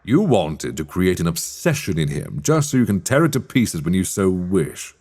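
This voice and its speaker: A man acting a sly, teasing master of a magic academy in a dark fantasy ASMR roleplay, speaking in measured, wry, formal phrases. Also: deep voice, big pitch swings, exaggerated voice. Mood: puzzled, amused.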